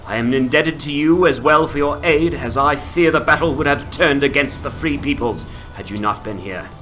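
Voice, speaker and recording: An earnest, courteous fantasy ranger , acted out by a man. He speaks in formal, solemn heroic lines with a bright, slightly high voice. Faint music plays behind this game dramatization. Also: moderate pace, lightly acted, low-quality recording. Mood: solemn, grateful.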